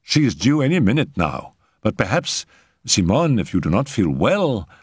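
Storybook role: earnest, coaxing male lover, persuasive and expressive, acted by a man reading aloud, close dry sound. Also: fairly low pitch, moderate pace, breathy edge, no room sound, pitched above the performer's own voice. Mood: concerned.

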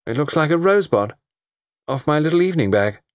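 A man's voice: fairly high pitch, lively intonation, brisk, no room sound, clean studio sound, pitched above the performer's own voice, lightly acted. A man voicing a cool, haughty young woman who answers curtly. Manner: cool, curt, clipped replies. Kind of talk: audiobook character voice.

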